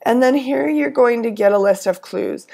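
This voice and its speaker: A hoarse woman coordinating a lab course, briefing teaching assistants in a friendly, instructive way with frequent fillers and restarts. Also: fairly high pitch, moderate pace, warm tone. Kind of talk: recorded weekly instructional briefing.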